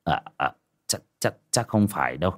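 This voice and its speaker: Man acting stammering old father, swooping pitch. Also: expressive, moderate pace. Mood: soothing. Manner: stammering, repeating words when flustered. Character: anxious, protective old rural father, stammering and kindly. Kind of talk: audiobook character acting.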